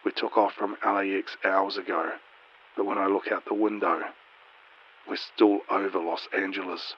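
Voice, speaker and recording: A man playing a frightened, despairing airline pilot, shaky, halting and hushed over a muffled radio in a horror story. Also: low voice, little pitch movement, husky. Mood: shaken, confused. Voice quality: hushed, shaky, near-whispering. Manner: halting, stammering. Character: terrified, stammering male airline pilot, trapped and despairing.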